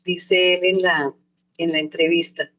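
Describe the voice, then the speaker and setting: A woman's voice: on the low side, flat delivery, measured pace, fairly bright tone. An older woman, an anthropologist answering interview questions in a thoughtful, reflective way, often restarting and hedging as she remembers. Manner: thoughtful, with frequent restarts and hedges.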